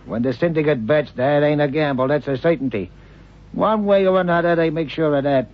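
An actor playing a streetwise, obliging underworld informant in an old radio crime drama, slangy, sly and deferential. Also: male voice, a little high, brisk, close to an everyday voice. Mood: sly, knowing. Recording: dull vintage radio drama recording.

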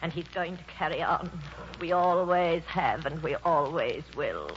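A woman's voice: deep voice, measured pace. An actress playing a proud, imperious old grandmother in 1940s radio drama. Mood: solemn, resolute. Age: older. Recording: muffled, noisy old radio broadcast.

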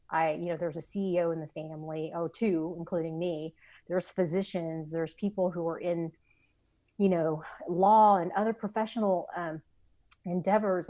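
A woman's voice: on the low side, darkish tone, no room sound. A woman in her forties, a company founder, talking earnestly in an interview with many fillers. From Vietnam, raised in the United States. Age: 40s. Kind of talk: conversational interview.

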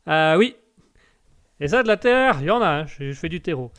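A man hosting a live stream, reading chat messages aloud and joking about them in a playful, halting way full of fillers. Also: on the high side, expressive, a bit bright, clear, resonant tone. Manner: playful, joking, hesitant with many fillers and restarts.

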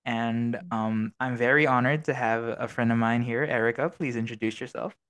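A man's voice: medium pitch, steady tone, normal speed, fairly bright tone, clean tone. A man hosting a casual podcast, rambling with many fillers and restarts. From Filipino American, living abroad. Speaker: podcast host.

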